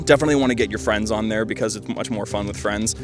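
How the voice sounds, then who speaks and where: A young man giving a casual, conversational game review, rambling loosely with wry deadpan asides. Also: on the low side, racing. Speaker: gaming video host. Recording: loud music behind the voice. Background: music.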